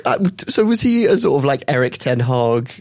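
A man co-hosting a podcast, joking with quick asides and banter. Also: medium pitch, big pitch swings, gravelly, darkish tone. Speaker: podcast co-host. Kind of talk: casual chat.

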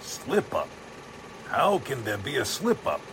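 An actor playing a cocksure, commanding crime boss in the style of 1940s radio drama. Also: male voice, mid-range voice, wildly swooping pitch, slow, a bit bright, full character voice. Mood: smug, commanding. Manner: smug, commanding. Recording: clear car engine noise.

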